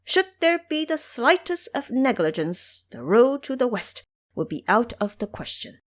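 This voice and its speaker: A deferential heavenly spirit messenger, performed by a woman. He is formal, apologetic and earnest, with an animated rise and fall suited to audio drama. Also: a little high, measured pace, voice pushed up. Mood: warning.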